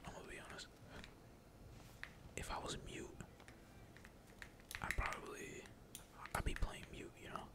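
A gamer speaks entirely in a breathy whisper, giving casual ASMR commentary on their play. The talk is slangy and peppered with swearing, while computer keys clack behind it. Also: unhurried, pauses often. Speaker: gaming video creator. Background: computer keyboard typing.

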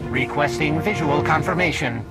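An actor playing a formal, procedural maintenance robot in a video game. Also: male voice, fairly high pitch, normal speed, lightly acted. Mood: formal, neutral. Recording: game dialogue under loud music.

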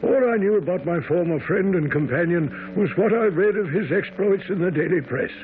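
An actor playing a genial, easily astonished gentleman doctor in a radio drama, speaking in a hearty, old-fashioned way. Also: high voice. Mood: reflective. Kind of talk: radio drama acting and narration. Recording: narrow lo-fi vintage radio sound.

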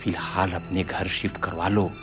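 An actor playing a practical, dismissive middle-aged elder brother in a radio drama, with a husky, rough voice. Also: male voice, low voice, level tone, normal speed, over music, natural voice. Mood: decisive. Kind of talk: Hindi radio play acting.

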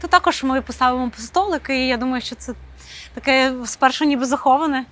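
A woman's voice: high voice, quick pace. A woman, an arts program director, answering thoughtfully with frequent hesitations. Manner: thoughtful, hesitant, many filler sounds. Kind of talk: interview answers. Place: rooftop outdoors, then indoor hall.

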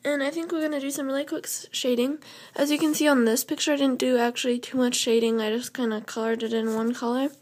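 A young woman with a slightly hoarse, fairly high voice walks through a drawing lesson step by step. Her delivery is casual and loose, full of fillers and quick self-corrections. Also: little pitch movement, darkish tone. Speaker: drawing tutorial host. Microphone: camera over the drawing paper, speaker off frame.

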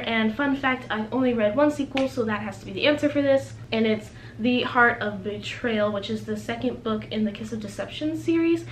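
A roomy, echoing recording with faint noise carries a young woman's fairly high voice. She speaks in the informal, chatty style of a book vlogger, conversational and unscripted. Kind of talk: casual chatty video blog.

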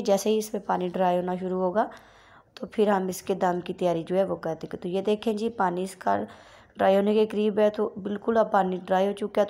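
Mellow-voiced woman narrating a recipe. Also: medium pitch. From Pakistan. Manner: step-by-step instructional, polite and steady. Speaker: cooking channel host.

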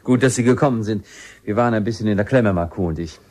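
A man's voice: lowish voice, quick pace, darkish tone, close to an everyday voice. A man acting a sceptical cave explorer, one of an expedition team, in a radio drama. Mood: welcoming.

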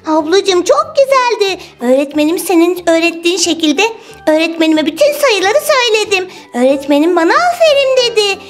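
A woman voicing an excitable, cheeky little girl in a toy play video, with a high, childlike, squeaky voice. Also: moderate pace. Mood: proud.

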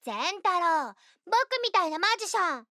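Super high bright little kid magician, cheeky n showy, cute game character hyping up a stage show. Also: female voice, animated, brisk, no room sound, studio quality, heavily acted. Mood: playful, teasing. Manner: theatrical, playful, boastful showman patter. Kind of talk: Japanese video game character voice lines.

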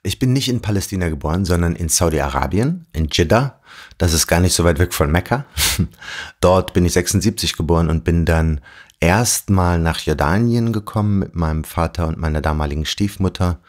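A man in his forties from Germany, telling his life story as an interview guest in a thoughtful way, with pauses and fillers. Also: low-pitched. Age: 40s. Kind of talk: reflective storytelling.

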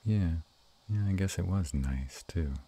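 A man playing a gruff but tender were-dragon lover in an intimate romantic audio roleplay, murmuring slowly and haltingly in a gravelly, husky voice. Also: sits low, mellow, heavily acted. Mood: thoughtful.

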